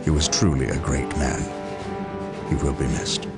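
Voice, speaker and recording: A battle-worn space marine captain speaks from the very bottom of the male range. He is grim, formal and set on vengeance, in sci-fi video game style over clear music. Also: very deep voice, steady tone, normal speed. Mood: solemn, mourning. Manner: solemn, formal, resolute. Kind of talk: dramatic video game acting.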